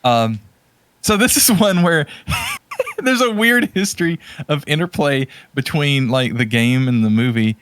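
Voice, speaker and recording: A man's voice: a little high, huge pitch swings, a little slow, fairly bright tone, clear, resonant tone, no echo. A man co-hosting a podcast in casual chat, rambling and often hesitating and correcting himself as he goes. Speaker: podcast co-host.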